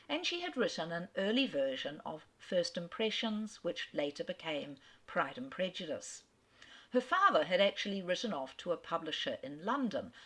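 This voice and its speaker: Woman, literary lecturer, lowish voice, animated intonation, storytelling. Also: slightly rough. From Australia. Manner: expressive, lively storytelling, acts out quotes. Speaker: lecturer on authors.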